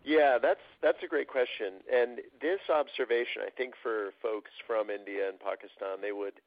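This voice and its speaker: Gravelly man on a tinny line. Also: medium pitch, normal speed, no echo. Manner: reflective and explanatory, with frequent fillers. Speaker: guest. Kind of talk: casual interview talk. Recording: tinny phone-like line, dry.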